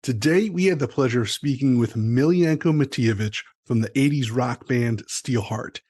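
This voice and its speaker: A man's voice: mid-range voice, normal speed, a little mellow. A man hosting a music show, speaking in an upbeat, promotional way as he introduces and interviews guests. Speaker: podcast host and interviewer. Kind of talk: enthusiastic scripted show intro and casual interview chat.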